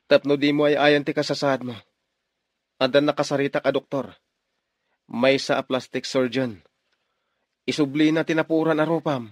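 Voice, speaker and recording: An actor with a Filipino accent playing a devoted, determined young lover in a radio drama, speaking earnestly. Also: male voice, medium pitch, fairly even intonation, normal speed, pauses often, dry recording, clean studio sound, lightly acted. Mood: reassuring.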